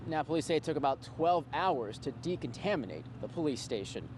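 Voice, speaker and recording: Fast-talking male TV field reporter. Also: a little high, expressive. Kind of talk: scripted live news report. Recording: faint outdoor street and traffic noise.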